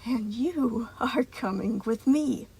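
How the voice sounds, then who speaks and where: A woman voicing an unhinged, condescending male moon-faced robot in a slow, crackling near-whisper, taunting with tightly controlled intensity, in a story reading. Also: mid-range voice, vocal fry, slightly rough. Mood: seething restraint.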